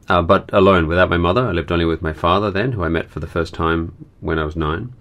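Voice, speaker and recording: A man from Australia who leads children's theatre workshops, telling his story in a casual, hesitant way with many pauses and fillers. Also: deep voice, a bit bright, smooth. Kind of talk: casual personal account.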